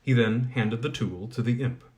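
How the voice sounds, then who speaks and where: A man reading an old fairy tale aloud in a measured, expressive storybook manner. His voice sits at an ordinary middle pitch for a man, steady and clear. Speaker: audiobook reader. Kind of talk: storybook narration.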